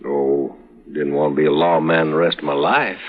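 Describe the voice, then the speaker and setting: An actor playing a smooth-talking, wounded drifter with an easy drawl, on a dull old radio recording. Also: male voice, a bass voice, fairly even intonation, moderate pace. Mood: easygoing.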